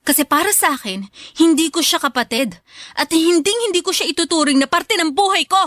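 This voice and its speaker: A woman's voice: very high voice, expressive, fairly quick, fairly bright tone, dry recording, clean studio sound. A woman playing a resentful, hard-hearted young elder daughter in a radio drama, speaking with cold scorn. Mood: bitter anger.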